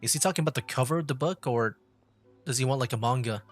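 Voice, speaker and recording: A man casually commentating on a game livestream in a hesitant, halting way, often repeating himself. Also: medium pitch. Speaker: streamer playing a video game. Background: game music.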